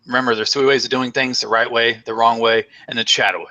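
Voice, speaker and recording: A man's voice: mid-range voice, little pitch movement, fairly quick, sharp tone, low-quality recording. A young man hosting a casual live stream chat, rambling and joking with many fillers while losing his voice. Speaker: host.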